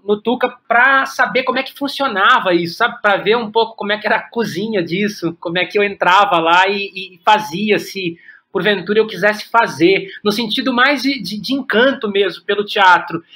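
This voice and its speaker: A Brazilian man with a notably high voice and a bright, forward tone. He talks conversationally, like a guest reflecting in an interview. His phrasing is halting, with repeated words as he thinks aloud. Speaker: podcast guest, playwright and director.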